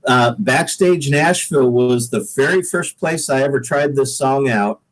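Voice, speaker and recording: Slow-to-mid paced American man, casual guest. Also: mid-range voice, low-quality recording. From US Pacific Northwest. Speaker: guest singer-songwriter. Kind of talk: casual podcast chat.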